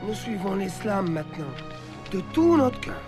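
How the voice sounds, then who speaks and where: An earnest believer speaking for his town in an animated historical drama. He is fervent and sincere, and loud music swells behind him. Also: high-pitched, normal speed. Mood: eager, joyful. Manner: fervent, pleading.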